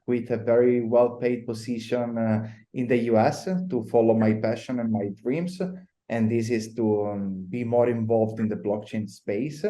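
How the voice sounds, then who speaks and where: Italian-accented male guest, mellow timbre, calm explainer with fillers. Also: medium pitch. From Italy. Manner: measured explanatory with frequent uh fillers. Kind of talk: podcast interview.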